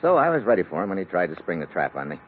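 An actor playing a tough, wry American secret agent in an old radio adventure, with sardonic, clipped delivery. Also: male voice, low voice, sing-song, very fast. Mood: wry, probing. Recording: muffled, noisy old radio recording.

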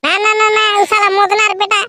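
A man acts out a brash young village man from a comic cartoon. His pitched-up voice is extremely high, with a Purulia Bengali accent. He grumbles and boasts in slangy exclamations, and the recording is clearly noisy. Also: flat delivery, brisk. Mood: eager, brash.

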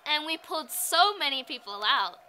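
Actress as a high, very bright spirited union organizer. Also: female voice, lively intonation, moderate pace, a little hiss or hum. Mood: earnest. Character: spirited woman farmworker union organizer recalling the strike. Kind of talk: dramatized oral history monologue.

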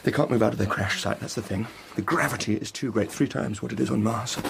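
An actor playing a shaken, self-important English husband and writer in a radio drama, speaking in urgent, stammering, broken phrases with an English accent. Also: male voice, fairly low pitch, fast, vocal fry, background noise. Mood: grave, stunned.

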